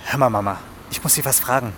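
A man acting as a brooding police investigator in a radio crime drama. His mid-pitched male voice is earnest and thoughtful, quietly insistent, over faint background noise. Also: quick pace, lightly acted. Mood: earnest, careful.

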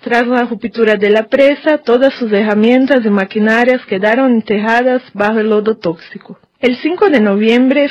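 A woman correspondent reading a formal radio news report in a steady manner. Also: a little high, dry recording. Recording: dry, dull-toned studio recording.

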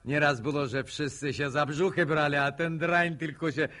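A man's voice: a little high, steady tone, moderate pace, sharp tone. An actor playing a smirking, needling village man in a Polish radio drama, mock-gallant and mocking in manner. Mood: mocking, amused. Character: smirking, needling village man, ex-corporal, teasing a courting couple.

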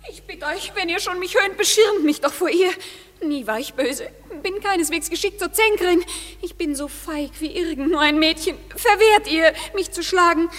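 A plaintive young woman in an old radio play. Her voice is high, and it rings in a reverberant hall. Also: moderate pace, a bit bright. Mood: pleading. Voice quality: plaintive, wounded. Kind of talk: classic radio play acting.

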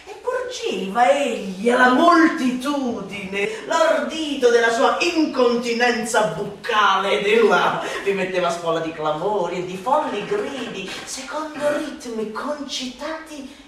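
An actress playing a bitter, mocking satirist who declaims a theatrical radio monologue against a tyrant, swinging between drawn-out murmurs and shrill cries. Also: female voice, animated, fairly bright tone. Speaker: stage actress performing a literary monologue. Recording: very echoey, distant, slightly noisy hall.

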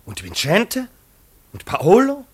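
Sardonic jaded aristocrat in old german radio play, pitch jumps all over the place. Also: male voice, wildly swooping pitch, slow, slightly rough, exaggerated voice. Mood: impatient. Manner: world-weary, sardonic, imperious. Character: jaded, cynical aristocratic marquis, male, melancholy, sardonic. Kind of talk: 1950s German radio drama acting.

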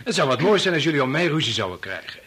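An actor playing a stubborn, persuasive bachelor in a Dutch radio play, wry, circling his point, with a slightly husky voice. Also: fairly high pitch, sing-song, rapid-fire, fairly bright tone, a little hiss or hum. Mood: reproachful. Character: idealistic unmarried man, teasing, stubborn, persuasive.

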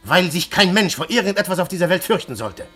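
An impulsive poet-detective. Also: male voice, high voice, very animated, fast talker, faint music behind, heavily acted. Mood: earnest. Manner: swings from hushed intensity to loud outbursts. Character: excitable young male poet-detective, intense and impulsive. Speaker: poet turned police detective. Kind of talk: German radio play acting.

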